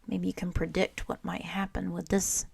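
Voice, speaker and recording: A woman teacher reading a children's picture book aloud, with expressive storytelling and little asides to the listener. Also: on the low side, normal speed, creaky, slight background noise.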